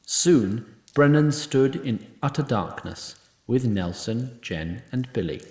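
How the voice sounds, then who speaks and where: A man's voice: mid-range voice, expressive, takes it slowly, a little mellow, reverberant. A man narrating a scripted fantasy story in a wry, literary manner.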